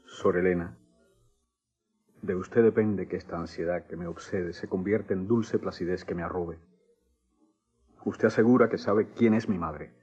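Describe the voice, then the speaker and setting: An old, dull radio recording of an earnest young man in a radio soap. His voice is low, and he declaims in florid, pleading, impassioned phrases. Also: normal speed, long pauses, a little mellow, husky, soft background music. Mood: anguished.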